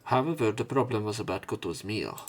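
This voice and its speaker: A man's voice: on the low side, lively intonation, fairly quick. A man reading visual novel narration aloud as a let's player, with casual commentary. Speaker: let's play streamer.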